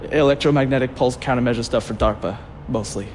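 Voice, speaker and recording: An actor playing a nervous, cooperative research engineer in a video game, explaining things hesitantly and a little wryly. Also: male voice, mid-range voice, quick pace, a little mellow, slight background noise, close to an everyday voice. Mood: uneasy, explaining. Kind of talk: video game cutscene acting.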